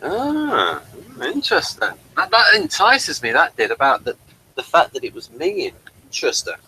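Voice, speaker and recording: Lively, bright-voiced man in casual review chat. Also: on the high side, gravelly, slight background noise, rough recording. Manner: halting, digressive. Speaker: co-host of a TV review show. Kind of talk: casual review chat with some reading aloud.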